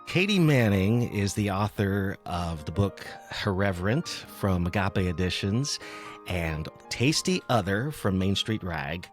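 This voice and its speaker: A man hosting a podcast in a casual, chatty, rambling and lightly self-deprecating way. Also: fairly low pitch. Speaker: podcast host. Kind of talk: casual, chatty interview talk.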